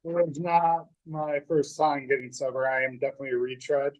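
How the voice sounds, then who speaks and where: A man's voice: medium pitch, little pitch movement, a little fast, low-quality recording. Young man in his twenties, meeting-room testimony, plain middle voice, rambling and honest. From North Dakota. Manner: candid, loose, with many fillers and restarts. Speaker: speaker sharing his recovery story. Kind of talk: personal testimony at a recovery meeting.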